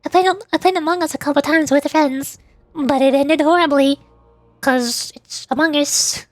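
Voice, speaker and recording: Woman, high-pitched voice, casual gaming stream chatter, playful, rambling, faint music behind. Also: fairly even intonation, normal speed, no room sound. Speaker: VTuber livestreamer. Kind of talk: casual livestream gaming chat.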